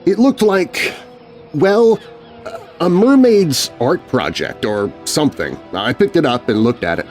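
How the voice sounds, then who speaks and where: Man narrating as animated, shaken, grumbling kid storyteller. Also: on the high side, faint music behind, voice pushed up. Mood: curious. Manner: dramatic, expressive storytelling with deliberate pauses. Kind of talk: first-person scripted story narration.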